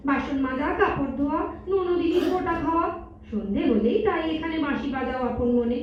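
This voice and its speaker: A woman playing a sharp-tongued young woman in love, speaking rhymed verse in a teasing, theatrical sing-song for a stage drama. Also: high voice, fairly quick, slight background noise, close to an everyday voice. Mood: wounded, reproachful. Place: large multipurpose hall, on stage. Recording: echoey hall, distant mic, dull sound.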